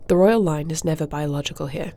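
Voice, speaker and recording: A formal warrior princess of a dragon-shifter line, acted by a woman for a fantasy audio roleplay. Her voice sits fairly low with a gravelly fry at the edges. She speaks with measured, regal diplomacy and a strong sense of duty. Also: warm tone. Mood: matter-of-fact. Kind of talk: scripted audio roleplay acting.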